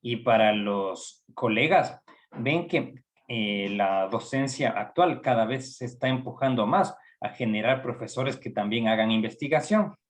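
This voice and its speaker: A middle-aged man with an Ecuadorian accent, a psychology professor giving a training lecture in a measured way, pausing often with fillers. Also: medium pitch, animated. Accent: Ecuadorian Spanish. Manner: measured, with frequent hesitations and fillers. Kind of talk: recorded online lecture.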